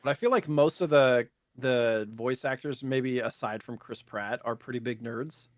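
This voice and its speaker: A man's voice: medium pitch, moderate pace, no room sound, studio quality. A man co-hosting a podcast, chatting casually in a loose, rambling conversational way. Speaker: podcast co-host. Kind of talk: casual chat about retro gaming news.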